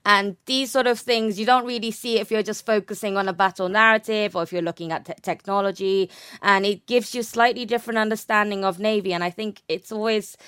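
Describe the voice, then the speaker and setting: A woman's voice: medium pitch, a bit bright, clean tone, no room sound, clean studio sound. A young woman speaking as an academic guest, explaining her research conversationally and often rephrasing with "sort of".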